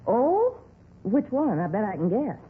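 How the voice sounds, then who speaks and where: A nosy, middle-aged apartment manager who rambles and repeats herself in folksy 1950s radio style. Her delivery swings up and down in a lively way. The old recording is thin and tinny with a light layer of hiss. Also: on the high side, unhurried. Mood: eager, surprised. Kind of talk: 1950s radio drama acting.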